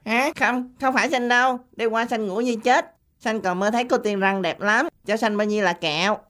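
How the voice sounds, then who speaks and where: A woman's voice: fairly high pitch, normal speed, fairly bright tone, close to an everyday voice. A woman voicing a naive, childish male pencil in a cartoon, with a whiny childlike manner. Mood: defensive.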